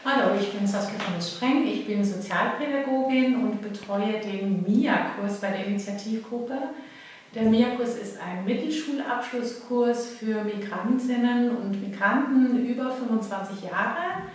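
A woman's voice: a little high, measured pace. A woman, a social worker, explaining courses matter-of-factly with frequent fillers. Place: office. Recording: very echoey office, mic at a distance.

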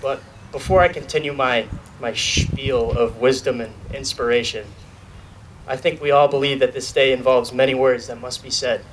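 A man's voice: medium pitch, fairly even intonation, moderate pace. A young man, just graduating, gives the student speech at an outdoor podium, earnest with light jokes. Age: young adult. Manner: earnest, lightly joking, reads from notes. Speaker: student speaker. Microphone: podium mic filmed from a far camera. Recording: distant outdoor recording with noise.

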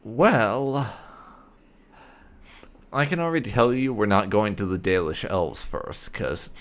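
A man's voice: on the low side, slow. A man giving gameplay commentary on a role-playing game, speaking bluntly and with some swearing. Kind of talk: gameplay commentary, partly in character.

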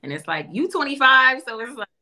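A woman's voice: sits high, fairly quick, slight background noise. A woman in her thirties from California, chatting and laughing on a live video call dating show. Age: 30s. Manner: laughing, teasing, lively. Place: indoor room. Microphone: video call, phone or laptop.

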